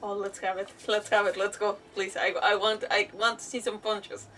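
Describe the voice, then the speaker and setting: A woman streaming a game, giving casual, expressive live reactions full of exclamations. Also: medium pitch, normal speed, far from the mic.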